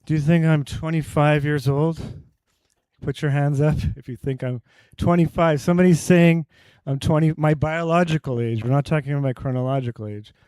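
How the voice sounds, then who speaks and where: A man's voice: a little high, fairly even intonation, moderate pace, dark tone, clear, resonant tone, no room sound. A man giving a conference talk on health to a live audience. He explains with everyday analogies and quizzes the crowd directly.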